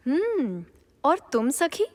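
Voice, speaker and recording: A woman's voice: high-pitched, big pitch swings, slow, full character voice. A woman playing a coy young princess in a mythological audio drama, musing and theatrical. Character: coy young princess chatting with her friends. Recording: long hall reverb.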